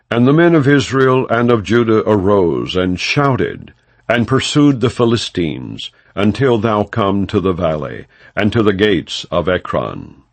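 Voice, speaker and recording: A man with a low voice narrates scripture for an audiobook. His delivery is solemn and emphatic, with a wide, animated rise and fall, in a very dry recording. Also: creaky, dry recording. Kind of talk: scripted scripture narration, non-dramatized reading.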